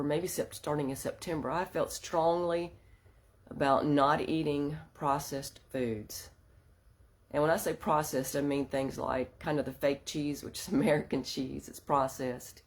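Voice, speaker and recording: Woman host, stuffy-nosed with a slight sore throat, chatty and earnest, casual live-stream talk. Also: a bass voice. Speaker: host of a women's wellness group. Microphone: phone or webcam for a live stream.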